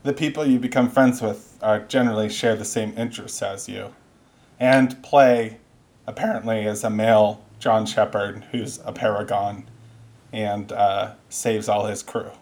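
A man's voice: on the low side, fairly even intonation, measured pace, darkish tone. Teasing young man, casual podcast banter. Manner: teasing, joking, swears freely. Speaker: podcast co-host. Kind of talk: casual chat.

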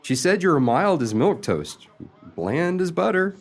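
A man's voice: fairly high pitch, sing-song, measured pace, breathy edge, full character voice. An actor playing a mild, helpful male friend in a radio comedy, speaking in an earnest, theatrical way. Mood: helpful, confiding. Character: meek, helpful old friend giving sly romantic advice. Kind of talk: radio comedy play acting.

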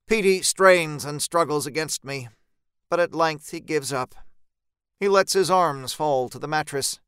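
A man narrates a dark first-person tale with measured tension. His voice is smooth and resonant, sitting a little high for a man. The recording is very dry and close, with every word cleanly shaped. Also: no room sound. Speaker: story narrator. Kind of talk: dramatic first-person horror audiobook narration.

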